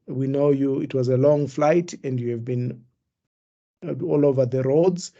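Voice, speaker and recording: A man, the association's chairperson, giving measured closing remarks and thanks on a webinar over a video call. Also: medium pitch, dark tone, muffled recording.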